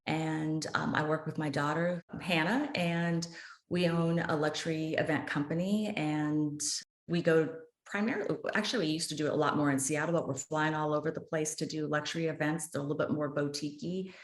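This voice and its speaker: Low-voiced earnest woman, echoey room. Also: steady tone, brisk. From United States. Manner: earnest, impassioned, speaks with conviction. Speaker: author and advocate guest. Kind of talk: podcast interview.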